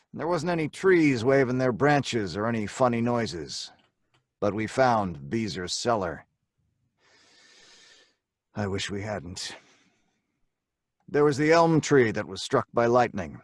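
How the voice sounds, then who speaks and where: A man's voice: medium pitch, no room sound, studio quality, lightly acted. An actor playing a cocky, slangy robber and gang leader who tells his story in old time radio crime drama style. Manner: slangy, hard-boiled, colloquial.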